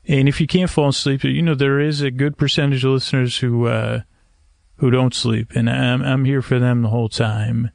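A man hosting a bedtime podcast, talking in a creaky voice with a hesitant, meandering, measured and gently self-deprecating manner. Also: medium pitch, little pitch movement, no echo, clean studio sound. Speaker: podcast host. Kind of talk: rambling, soothing bedtime monologue to help listeners sleep. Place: screened porch at night.

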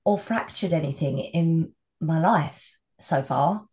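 A young woman co-hosting a podcast with a croaky, husky voice, chatting casually and laughing often. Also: low-pitched, slow pace, darkish tone. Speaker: podcast co-host.

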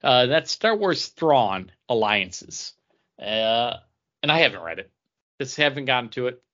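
Bright, animated man with halting phrasing. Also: mid-range voice, highs cut off. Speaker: podcast co-host. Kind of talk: casual comic book podcast chat.

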